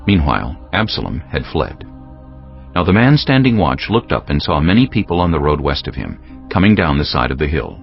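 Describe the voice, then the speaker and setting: A man's voice: very deep voice, big pitch swings, brisk, creaky. A man solemnly narrating a dramatized scripture story from a script. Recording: slightly dull audio with music bed.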